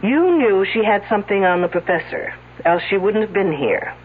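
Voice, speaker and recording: A confident detective in a vintage radio mystery who needles everyone with sardonic quips. Her delivery is lively and bounces up and down in pitch. It sounds thin and tinny, like an old radio broadcast. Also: normal speed, slightly rough. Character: wisecracking, confident female detective.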